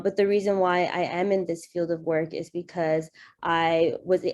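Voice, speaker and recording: Young woman, lowish voice, earnest and conversational, presenting over a video call. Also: a little mellow. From Canada. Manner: earnest, conversational, personal storytelling. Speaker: youth program coordinator presenting. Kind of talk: webinar presentation.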